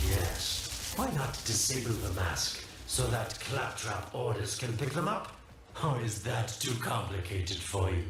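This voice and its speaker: An actor playing a sly, mocking schemer in a video game, drawling theatrically, very echoey and distant over music. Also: male voice, mid-range voice, noisy background, close to an everyday voice. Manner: drawling, sardonic, teasing, theatrical. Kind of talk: video game character acting.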